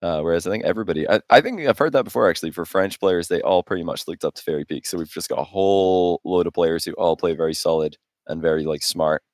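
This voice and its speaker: A man's voice: low-pitched, quick pace, hardly any pauses, darkish tone, no echo, clean studio sound. A man in his late twenties chatting casually as co-host of a gaming podcast. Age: late 20s.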